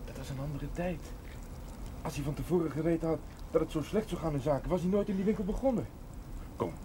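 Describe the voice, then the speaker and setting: An actor playing a concerned, sensible grown son with an earnest, matter-of-fact manner in a naturalistic television drama. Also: male voice, a little high, fast, mellow, lightly acted. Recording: noisy 1970s television soundtrack.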